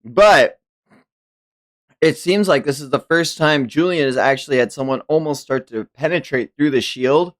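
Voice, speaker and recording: A man's voice: fairly high pitch, normal speed, a bit bright. A man streaming a comic read-along, narrating dramatically and reacting in casual, profane language.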